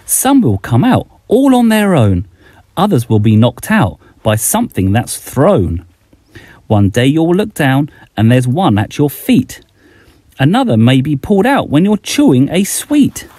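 A man's voice: on the high side, wildly swooping pitch, a little slow, warm tone, no room sound, studio quality. A middle-aged man reading a rhyming bedtime story aloud, expressively, as a children's author addressing young listeners.

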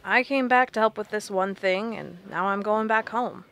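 Resolute researcher played by a woman, mid-pitched, sharp-edged, fed up and blunt, audio drama. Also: moderate pace, a little hiss or hum, lightly acted. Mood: firm, defiant. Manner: blunt, firm.

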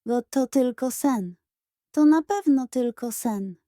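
A woman voicing a cheeky, excitable little girl in a children's audio story, speaking in colloquial exclamations. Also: high voice, slow, no echo, studio quality. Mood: disbelieving.

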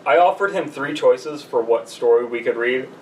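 A man's voice: mid-range voice, normal speed, echoey room. A man in his twenties chatting casually and reading aloud, with a dry, sweary, correcting manner. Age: 20s. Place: small room, group on couches. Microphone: far camera. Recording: distant camera mic, room noise.

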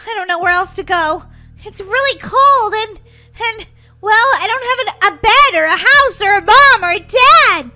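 Woman playing a squeaky, frightened little orphan girl. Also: animated, normal speed, sharp tone, soft background music, full character voice. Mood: sad, afraid. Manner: breathless exclamations, dramatic talking to herself. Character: frightened young orphan girl running away. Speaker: gaming channel host. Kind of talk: improvised children's gaming roleplay.

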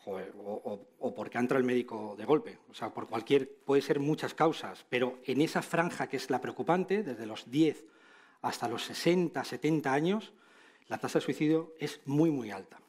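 A man's voice: mid-range voice, moderate pace, darkish tone. Conversational Castilian Spanish man presenting on stage. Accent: Castilian Spanish from Madrid. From Spain. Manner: conversational, frequent tag questions, wry asides. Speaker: conference speaker, clinician. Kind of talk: conference presentation, informal. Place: large auditorium stage, indoors.

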